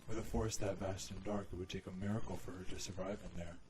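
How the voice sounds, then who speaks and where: A man voicing a cold, menacing cartoon army general with a calm, smug, authoritative manner. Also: low-pitched, quick pace, noisy background, close to an everyday voice. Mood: stern. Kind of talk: animated fan drama acting. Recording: dramatic music behind the voice.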